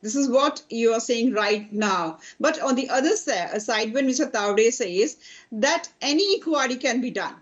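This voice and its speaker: High-voiced Indian English woman, dull feed. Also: expressive, moderate pace. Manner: assertive, repeats phrases. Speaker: party spokesperson. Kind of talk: TV panel debate.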